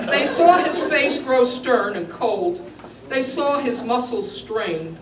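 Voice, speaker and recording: Woman, dramatic poetry recital, theatrical, emphatic, unhurried pace, distant mic, echoey room with clear background noise. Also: fairly high pitch. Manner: theatrical, emphatic, deliberate storytelling delivery. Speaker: performer giving a dramatic reading. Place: roomy indoor venue, recorded at a distance.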